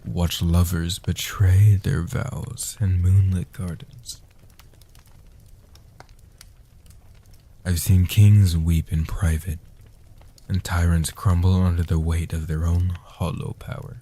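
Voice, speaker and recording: A man playing an ancient, protective male vampire in a soft-spoken comfort roleplay, speaking in a breathy, hushed, deliberate and soothing way. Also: sits low, level tone, heavily acted. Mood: solemn, reverent. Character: ancient male guardian vampire, protective, tender, world-weary.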